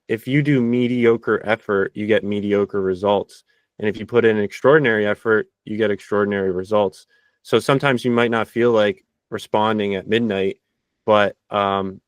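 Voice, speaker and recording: A male podcast host with a fairly low voice, recorded close and dry. He asks quick, energetic questions and often restarts a phrase mid-sentence. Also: dry recording. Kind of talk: casual interview.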